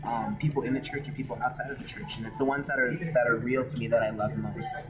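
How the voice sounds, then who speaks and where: A man's voice: mid-range voice, steady tone, fast talker, poor audio. A man from Hawaii telling his personal story in an interview, frank and emotional, often restarting his sentences. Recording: loud background music.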